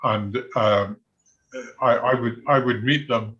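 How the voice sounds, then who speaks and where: An older Scottish man talks informally about history in a halting, conversational manner. His voice sits at a middling pitch, and the recording is dull and lo-fi. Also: a bit bright. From Scotland. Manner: halting, conversational, chuckles often. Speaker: military historian and former soldier. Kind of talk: informal talk on military history. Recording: dull, lo-fi audio.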